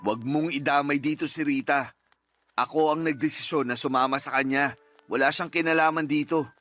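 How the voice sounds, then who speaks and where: A man's voice: fairly high pitch, fairly even intonation, normal speed, a bit bright, soft background music, natural voice. A man playing a callous, selfish partner in a radio drama, speaking in a cold, blunt, dismissive manner. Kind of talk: Tagalog radio drama acting.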